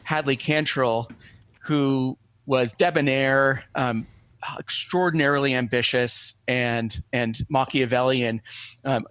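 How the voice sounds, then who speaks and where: A man's voice: medium pitch, fairly bright tone, no echo. A man speaking as an academic interview guest, explaining things in a scholarly, discursive way with frequent self-corrections.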